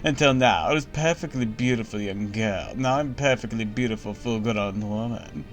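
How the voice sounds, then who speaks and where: A man's voice: fairly low pitch, moderate pace, natural voice. A man voicing a vain, imperious empress with a put-on German accent, haughty and pompous, an impish and cruel grown woman, with faint game music behind. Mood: smug, preening. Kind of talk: voicing game dialogue on a stream.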